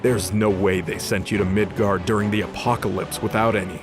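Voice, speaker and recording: A cynical, disgraced angel warrior played by a man in an audio drama, speaking in a low voice with gruff sarcasm. He swears freely, and loud music plays under him. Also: fairly even intonation, a little fast, natural voice. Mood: urgent, exasperated. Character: cynical, sardonic disgraced male angel fighter.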